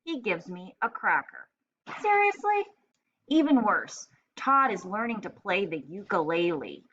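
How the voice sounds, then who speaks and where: Storybook parrot female reader: sarcastic, put-upon, deadpan then indignant, unhurried and expressive, slightly muffled recording. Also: medium pitch. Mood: exasperated. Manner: deadpan, exasperated, dramatic complaining. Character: grumpy, sarcastic, indignant pet parrot keeping a diary. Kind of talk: children's storybook read-aloud in diary form.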